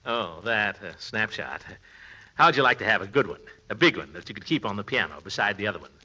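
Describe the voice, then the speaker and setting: A man's voice: on the low side, lively intonation, fairly quick, pushed and bright, husky, full character voice. An actor playing a pompous, sheepish, overweight middle-aged uncle in 1940s radio comedy, hemming and chuckling as he blusters. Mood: sheepish, coaxing. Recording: muffled vintage radio recording.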